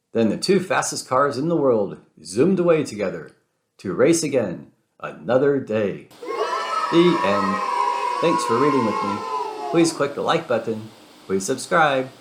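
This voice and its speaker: A man narrating a children's storybook aloud in an expressive read-aloud style. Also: medium pitch, unhurried, very noisy. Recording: roomy, echoing sound.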